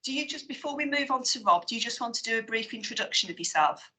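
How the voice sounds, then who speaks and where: A woman with a West Midlands English accent chairing a formal committee meeting, working through the agenda in a measured, procedural way with frequent fillers. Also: fairly high pitch, animated, bright, forceful tone.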